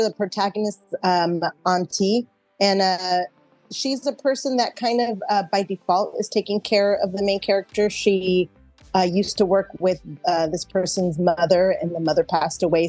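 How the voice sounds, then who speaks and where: A woman's voice: mid-range voice, darkish tone, no echo, poor audio. A middle-aged woman actor chatting casually in an interview, speaking in a reflective, conversational way.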